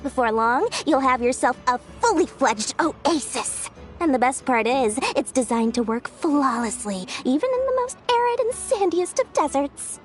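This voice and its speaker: An actress voicing a greedy, cheerful young female merchant in a game, talking in a bubbly sing-song sales pitch. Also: very animated, moderate pace, faint music behind. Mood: cheery salesy. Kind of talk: video game dialogue.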